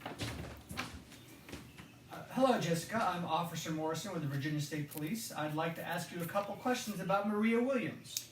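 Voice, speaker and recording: Casting: male actor playing a calm, quietly menacing man posing as a state trooper, naturalistic, recorded off-mic in a roomy space. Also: high voice, brisk, distant mic, slight background noise, close to an everyday voice. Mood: polite, probing. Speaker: actor in an audio drama. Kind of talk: naturalistic radio drama dialogue. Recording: roomy, echoing, off-mic sound.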